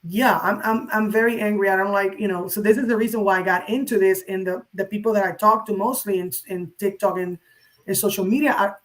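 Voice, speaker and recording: A woman's voice: mid-range voice, steady tone, fairly quick, low-quality recording. A woman speaking as an interview guest, emphatic and opinionated, addressing the listener directly in a conversational way. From San Juan, Puerto Rico. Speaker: interview guest, social media crypto educator.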